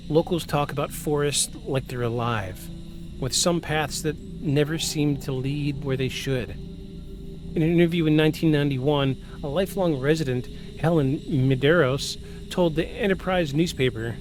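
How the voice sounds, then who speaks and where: A man's voice: a little high, a little slow, music in the background. A man in his forties hosting a podcast, moving between scripted narration and joking, self-deprecating casual chat. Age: 40s. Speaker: podcast host.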